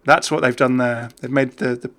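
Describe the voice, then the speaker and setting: A man's voice: medium pitch, steady tone, normal speed, fairly bright tone. A British man hosting a gaming video with chatty, casual, off-the-cuff commentary. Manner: chatty, easily amused.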